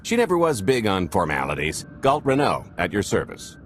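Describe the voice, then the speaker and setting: A low-pitched, swinging voice for a smug alien card cheat. He is glib and unbothered, a game rogue through and through, with faint noise behind him. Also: wildly swooping pitch, normal speed. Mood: breezy, sly. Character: sly, self-assured male alien scoundrel and card cheat. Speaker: con artist. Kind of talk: video game cutscene acting.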